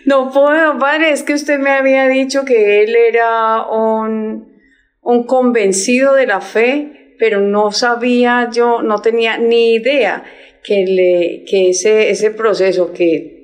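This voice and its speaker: Slow-talking effusive woman host. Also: fairly high pitch, steady tone. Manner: effusive, admiring, halting. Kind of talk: religious talk show interview.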